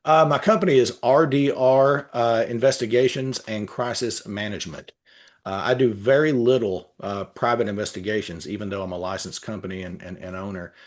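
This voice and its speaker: Texan man, measured and halting. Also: on the low side, steady tone. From Texas. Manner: measured, many "uh" fillers and restarts. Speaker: guest, retired police lieutenant. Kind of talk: interview answers.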